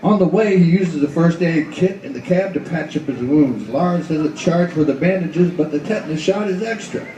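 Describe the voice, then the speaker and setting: A man's voice: on the high side, moderate pace, hardly any pauses, dark tone, lo-fi sound, slight background noise. A man narrating a noir radio play dramatically, in terse, clipped phrases. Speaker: author and narrator. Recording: echoey room, far from mic, music behind.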